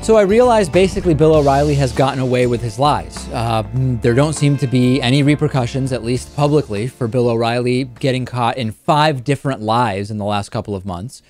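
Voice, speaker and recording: A man's voice: mid-range voice, brisk, few pauses, a little mellow, faint music behind. A man hosting a talk show, giving conversational political commentary.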